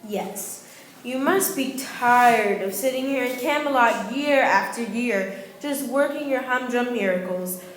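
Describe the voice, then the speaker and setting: Radio play king, played by a woman: formal, archaic, courtly declamation, fairly high voice in a roomy space. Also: a little slow, a little hiss or hum, close to an everyday voice. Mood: genial, eager. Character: regal, courteous king of Camelot, archaic-speaking male monarch. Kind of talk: stage-like amateur radio play acting. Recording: roomy live room, faint music.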